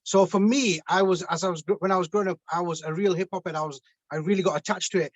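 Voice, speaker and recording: English radio host guy, high voice, dry close sound, lots of ums. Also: steady tone, fast, dry recording. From England. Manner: hesitant, lots of ums and restarts. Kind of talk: casual radio interview.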